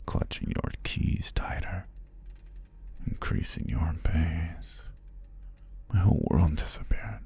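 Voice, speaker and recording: A man playing a whispering, gravelly, menacing captor. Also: warm tone, full character voice. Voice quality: breathy, husky whisper with gravelly low murmur. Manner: slow, savoring, drawn-out phrases with pauses. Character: menacing obsessive male captor, gloating and possessive. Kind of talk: ASMR roleplay, intimate scripted monologue.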